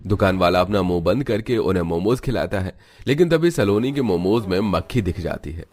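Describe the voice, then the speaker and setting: Male narrator, fairly low pitch, scripted cartoon storytelling. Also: expressive, a little fast, darkish tone.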